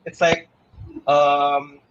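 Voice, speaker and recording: Casual interview guest: a young man, a bit high-pitched, easygoing and loose, recorded muffled. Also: flat delivery, drawn-out words, noisy background. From Philippines. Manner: switches between English and Tagalog in loose, rambling sentences.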